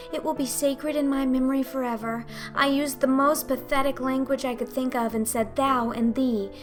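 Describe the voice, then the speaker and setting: A woman's voice: high voice, voice pushed up, natural voice. A woman voicing a melodramatic, imaginative young girl in a storybook reading, gushing in flowery, old-fashioned phrases.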